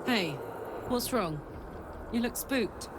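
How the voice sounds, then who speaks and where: An actress playing a blunt, friendly adventuring companion in a fantasy video game. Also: female voice, fairly high pitch, animated, slow, background noise. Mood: concerned.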